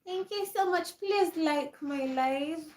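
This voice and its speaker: A woman's voice: very high voice, takes it slowly, a little mellow. A young woman, chatting casually on a livestream, reading and answering viewer comments and laughing often. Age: 20s. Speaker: livestream host. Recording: echoey room.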